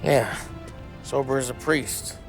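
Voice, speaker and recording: A man's voice: mid-range voice, level tone, slow, vocal fry. An actor playing a hot-headed, wisecracking American gangster henchman in a video game cutscene, with brash, slangy delivery. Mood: cocky, joking. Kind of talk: cinematic game voice acting. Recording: music behind the voice.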